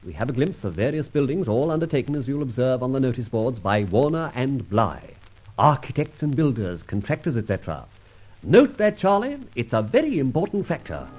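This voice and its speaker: Posh gentleman rogue, old radio serial, wordy and flippant, really lively pitch, muffled old recording. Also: fairly quick, husky. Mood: jaunty. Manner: urbane, wordy, mock-formal. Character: suave gentleman adventurer, masked highwayman with flippant humour. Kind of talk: old-time radio adventure serial acting and narration.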